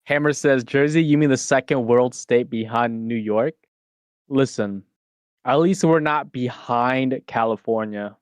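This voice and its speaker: Male streamer co-host, teasing and quick, dry close mic. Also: mid-range voice, clean tone, dry recording. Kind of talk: casual stream banter.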